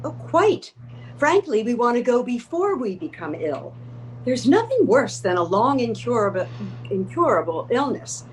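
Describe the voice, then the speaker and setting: A woman's voice: a little high, big pitch swings, unhurried, a little hiss or hum, rough recording. A woman playing a determined, eccentric old lady in a comic readers theater piece, speaking in a formal, matter-of-fact, bossy way. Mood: resolute. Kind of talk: comedic readers theater acting.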